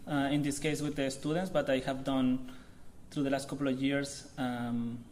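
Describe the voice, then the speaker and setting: A man's voice: medium pitch, flat delivery, darkish tone, slight background noise. Chilean man in his thirties giving an artist talk. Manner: halting, informal, searching for words. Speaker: visiting artist.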